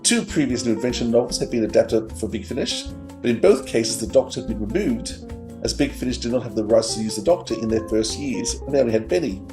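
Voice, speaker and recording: A man's voice: mid-range voice, animated, fast, over music. A man co-hosting a fan podcast, presenting facts and chatting. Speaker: podcast co-host. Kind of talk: trivia read-out and fan chat.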